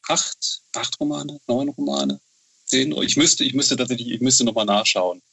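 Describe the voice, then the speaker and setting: A man talking casually as an interview guest, telling stories haltingly, searching for words and adding frequent tag questions. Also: medium pitch, vocal fry, a bit bright, husky, highs cut off. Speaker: novelist and TV writer, interview guest. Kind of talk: casual interview chat, storytelling.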